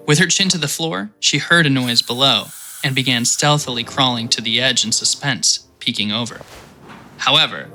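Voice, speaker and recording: Bright-voiced male audio drama narrator. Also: mid-range voice, rough recording. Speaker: host and narrator. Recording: faint music behind.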